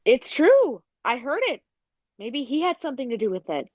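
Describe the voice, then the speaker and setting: A woman's voice: sits high, sing-song, moderate pace, a little mellow, no room sound, dull audio. A woman playing a blunt, gossipy circus woman in a 1940s radio play. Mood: defiant.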